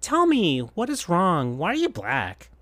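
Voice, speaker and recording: A reader voicing a small, kind young male fox who pleads softly and sounds worried. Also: medium pitch, huge pitch swings, unhurried, dry recording, voice pushed up, exaggerated voice. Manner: soft, pleading.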